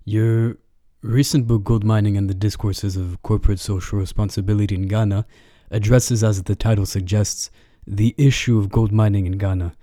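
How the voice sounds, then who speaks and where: Podcast host, man, measured and formal, mellow tone in a dry room. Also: lowish voice, flat delivery, no room sound. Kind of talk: scripted interview questions.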